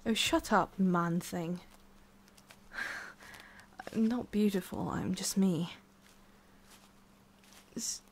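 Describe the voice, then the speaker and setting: A woman playing a centuries-old forest witch in an ASMR roleplay, haughty but secretly soft, speaking in a halting, musing way with a prickly edge. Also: medium pitch. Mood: flustered, prickly. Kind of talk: ASMR roleplay, addressing the listener.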